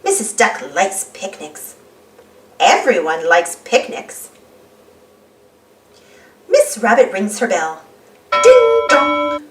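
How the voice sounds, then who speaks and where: A woman narrating a children's read-aloud story expressively, speaking clearly for young listeners. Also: medium pitch, sing-song, takes it slowly, long pauses. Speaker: storybook narrator and channel host. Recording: roomy, slightly distant, music underneath. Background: music.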